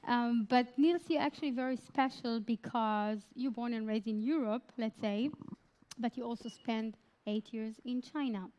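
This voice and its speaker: A woman's voice: a little high, normal speed, a little mellow. A woman moderating a conference panel, friendly, with laughing asides.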